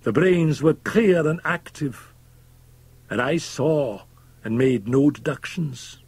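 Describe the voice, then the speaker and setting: A man's voice: a little high, slow pace, darkish tone, slightly rough, no room sound, pitched above the performer's own voice, exaggerated voice. A man voicing a bitter, defiant old drunkard in a dramatized gothic audiobook, speaking in a declamatory, scornful way. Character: bitter, drink-sodden old Scotsman, fierce and defiant.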